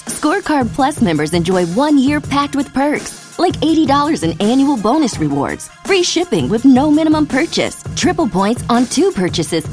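Female commercial voice-over, upbeat, lively pitch, faint music bed. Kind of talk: upbeat scripted ad read.

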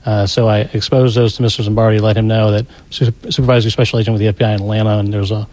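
Male federal agent interviewee, low mellow voice, quick phrases with hesitations, plainspoken. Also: level tone, dry recording. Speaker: FBI special agent being interviewed. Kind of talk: interview recollection.

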